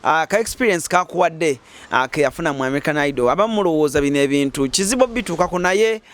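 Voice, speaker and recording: A man's voice: on the high side, expressive, quick pace, a bit bright. A man hosting an interview, firing off teasing questions. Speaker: host. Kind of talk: casual interview, mixing Luganda and English.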